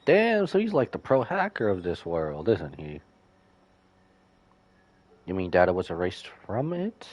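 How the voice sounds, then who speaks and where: A man's voice: low-pitched, wildly swooping pitch, unhurried, darkish tone. A man streaming a game, giving casual reaction commentary.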